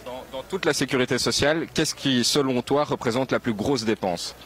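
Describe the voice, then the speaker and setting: A man's voice: medium pitch, moderate pace, a bit bright. Young man interviewing on the street. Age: young adult. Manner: explanatory, many fillers. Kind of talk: street interview, casual explaining. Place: outdoors on a city square. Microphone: handheld mic. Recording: faint street noise outdoors.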